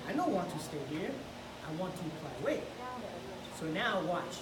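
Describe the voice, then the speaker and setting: A woman's voice: lowish voice, very animated, normal speed. Woman coaching on a gym mat. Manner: encouraging, coaching step by step while grappling. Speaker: jiu-jitsu instructor. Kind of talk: hands-on technique teaching. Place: large indoor gym with mats. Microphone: camera far across the room. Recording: echoey gym from far off, noisy.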